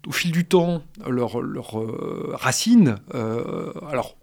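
A man explaining music history in a radio discussion, halting with many restarts and fillers but analytical. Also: medium pitch, expressive, darkish tone. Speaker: guest expert on music history.

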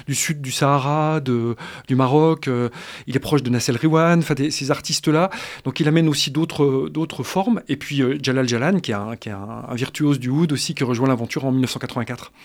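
A man explaining music history in a radio discussion, halting with many restarts and fillers but analytical. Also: mid-range voice. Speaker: guest expert on music history.

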